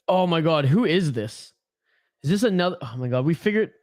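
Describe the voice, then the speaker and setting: High-voiced Canadian man chatting on stream. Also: expressive, fairly quick, a little mellow, dry recording, clean studio sound. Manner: chatty, swears casually, reads viewer comments aloud. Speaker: host of a hockey watch-along stream. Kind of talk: casual live-stream chat.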